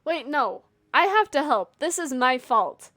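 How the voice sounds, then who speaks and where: Female streamer, slightly high voice, informal running reactions to a video game. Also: big pitch swings, a little slow, a bit bright. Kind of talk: casual reactions while playing a game.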